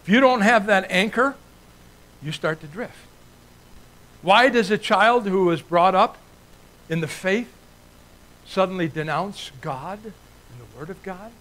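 An older man from Italy delivers a sermon with a slightly high voice. He preaches earnestly and builds his points through rising repeated lists. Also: a little slow, long pauses, fairly bright tone, poor audio. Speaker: guest preacher.

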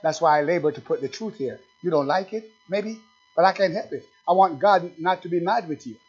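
A high-pitched man preaches from the pulpit, dropping to low asides and then surging loud. He slows to lean hard on scripture and keeps firing questions at his listeners. The recording is dull and lo-fi. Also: husky, faint music behind. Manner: reads scripture slowly and with emphasis; talks straight to the congregation with questions. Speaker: pastor. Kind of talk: fiery sermon.

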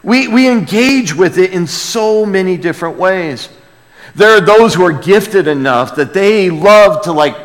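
Slow-paced male preacher in a reverberant hall. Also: sits high. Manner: folksy, asks rhetorical check-in questions. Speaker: pastor preaching. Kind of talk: sermon, conversational. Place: large reverberant room, preaching to a congregation.

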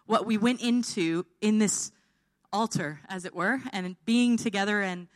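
A woman's voice: mid-range voice, unhurried. A woman preaching an informal sermon, her voice tired and held back, often checking in with her listeners.

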